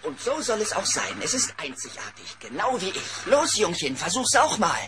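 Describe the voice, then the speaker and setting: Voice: mid-range voice, huge pitch swings, moderate pace, a crowd in the background. An actor playing an eccentric, laid-back artist bear with a breezy, theatrical manner in a children's cartoon audio drama.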